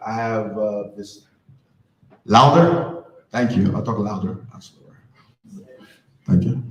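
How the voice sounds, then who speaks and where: A man from France giving a conversational talk to a live audience, often asking the crowd questions. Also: medium pitch. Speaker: conference speaker. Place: event hall. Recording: roomy hall with faint ambience.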